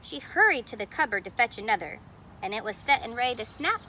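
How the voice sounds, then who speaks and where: A woman's voice: on the high side, very animated, quick pace, pushed and bright, slight background noise. A woman reading a picture book aloud expressively, explaining details to young listeners in casual asides. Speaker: storybook reader.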